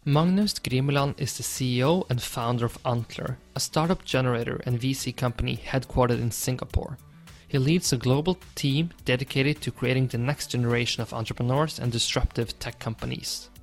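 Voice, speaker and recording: Gravelly-voiced male podcast host. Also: mid-range voice, fairly quick, faint music behind. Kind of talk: podcast intro and interviewing.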